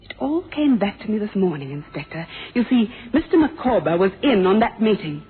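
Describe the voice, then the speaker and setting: An actress playing a sharp, needling witness who exposes a suspect in a radio mystery, pointed and theatrical. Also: female voice, medium pitch, sing-song, a little fast, breathy edge. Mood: needling, insistent. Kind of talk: 1970s radio mystery drama acting. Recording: thin, phone-like old radio recording.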